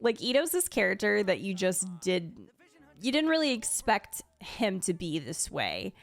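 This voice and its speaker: A woman giving casual, gushing reaction commentary in a conversational, expressive way. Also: mid-range voice, a little slow, creaky. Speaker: streamer ranking game character demos. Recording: music playing behind the voice. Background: background music.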